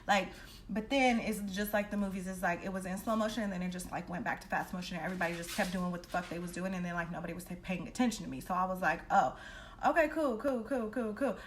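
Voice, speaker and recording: A young woman telling a personal story in a casual, chatty, dramatic way, with slangy, blunt talk and free swearing. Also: medium pitch. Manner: animated, dramatic re-enacting of dialogue. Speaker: storytelling vlogger.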